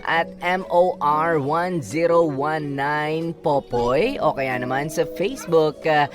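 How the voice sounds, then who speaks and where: A man's voice: on the high side, takes it slowly. A man with a Filipino accent co-hosting a radio show, chatting casually and giving listeners blunt, teasing advice. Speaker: radio DJ co-host. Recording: music bed behind the voice.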